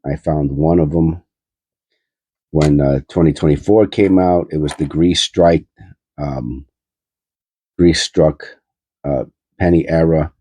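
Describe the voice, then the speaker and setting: A man's voice: extremely low pitch, steady tone, slow, long pauses, mellow, no echo. A man with a stuffy, nasal cold, hosting his coin-hunting channel and rambling aloud with many fillers as he sorts pennies. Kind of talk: casual coin roll hunting commentary.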